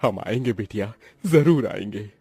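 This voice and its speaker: A low, husky male actor as a humble middle-aged uncle in a cartoon family drama. He is earnest, devout and proud despite poverty, recorded close and dry. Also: lively intonation, a little slow, dark tone, no room sound, faint music behind, exaggerated voice. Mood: moved. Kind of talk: Hindi cartoon story voice acting.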